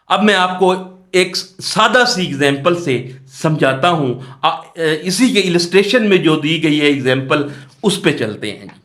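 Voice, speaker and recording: Man, Pakistani accent, fairly high voice, slow delivery, explanatory lecturer style, rhetorical questioning. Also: a bit bright. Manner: asks rhetorical questions and answers them; reads English statute phrases, then explains them in Hindi-Urdu. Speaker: law lecturer. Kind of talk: explanatory exam-prep lecture.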